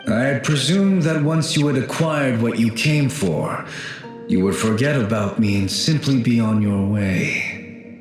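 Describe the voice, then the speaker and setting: A man playing the melancholy ghost of an ancient warrior in a video game, formal, courteous and wistful, with an echo effect. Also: mid-range voice. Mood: solemn, formal. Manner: formal, archaic diction, wistful, measured. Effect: heavy echo on the voice. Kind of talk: anime-style video game voice acting. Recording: strong echo, music behind. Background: game music.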